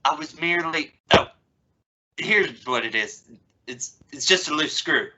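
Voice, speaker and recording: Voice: mid-range voice, expressive, measured pace, pushed and bright. A woman playing a flustered, impatient middle-aged father in an old-time radio family comedy, stammering in exasperation. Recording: noisy recording with clicks.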